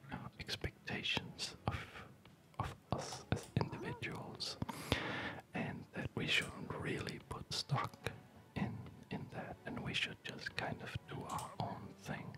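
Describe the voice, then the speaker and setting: An ASMR gaming host speaking in a soft unvoiced whisper, rambling in a reflective, hesitant way, close to the microphone. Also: takes it slowly, low-quality recording. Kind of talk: ASMR, unscripted rambling over gameplay. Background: music.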